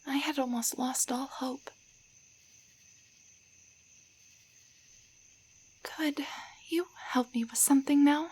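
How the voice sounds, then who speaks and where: A woman playing a shy, grateful young waitress turned into a tiny doll in an intimate roleplay audio drama, speaking softly and haltingly, often near a whisper. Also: high voice, steady tone, natural voice. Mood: grateful, relieved. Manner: hesitant, halting, stammering.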